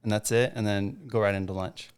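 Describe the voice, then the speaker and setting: A man's voice: lowish voice, fairly even intonation, a little fast, clear, resonant tone. A man in his thirties from Southern California, a podcast guest who rambles, jokes and uses slang. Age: 30s. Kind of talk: casual podcast chat.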